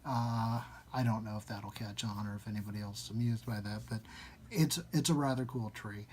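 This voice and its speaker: A man's voice: lowish voice, steady tone, moderate pace, a little mellow, slight background noise. Man giving an informal live literary reading. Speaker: reader of a story at a live reading. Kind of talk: informal introduction, then literary story reading.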